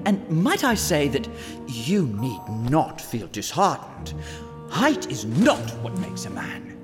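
A fawning little male steward in a medieval audio drama, swoops wildly in pitch as he wheedles. His oily, obsequious words ring in a reverberant hall, with prominent music behind. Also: huge pitch swings, exaggerated voice. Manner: obsequious, oily, drawn-out delivery.

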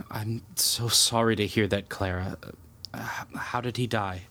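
An actor playing a young, earnest, bewildered priest in an audio drama, speaking in a hesitant, gently incredulous way. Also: male voice, on the low side, fairly even intonation, a little slow. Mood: sympathetic, awkward. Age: young adult.

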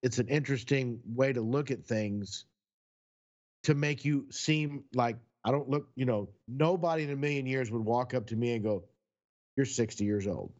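A man's voice: medium pitch, moderate pace, a little mellow, lo-fi sound. Chatty man in his sixties. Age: 60s. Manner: chatty, rambling storyteller. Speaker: podcast co-host. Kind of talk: casual podcast chat.

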